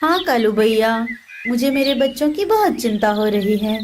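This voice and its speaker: A woman voicing a brave, clever mother sparrow in a children's cartoon, earnest and expressive. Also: on the high side, normal speed, slight background noise, close to an everyday voice. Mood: worried. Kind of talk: Hindi children's cartoon dialogue.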